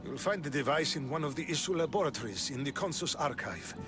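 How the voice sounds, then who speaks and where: An actor playing an earnest, articulate, idealistic scientist prince from an ancient advanced race in a video game drama. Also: male voice, a little high, lively intonation, fast, close to an everyday voice. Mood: earnest, urgent. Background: music.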